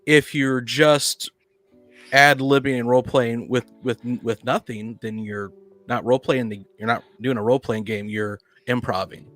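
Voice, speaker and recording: A man in a casual podcast debate, with music playing behind his voice. He argues insistently and readily interrupts to press his point. His pitch sits in the middle range for a man. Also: fairly even intonation, moderate pace, a bit bright, no echo. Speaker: podcast panelist.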